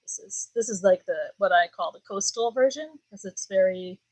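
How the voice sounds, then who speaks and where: A woman who owns a shop and designs knitwear, talking casually as a guest, relaxed and animated with many "like"s and "um"s. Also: medium pitch, normal speed, dry recording, poor audio. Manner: relaxed, animated, laughs easily, says "like" and "um". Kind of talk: casual conversational interview.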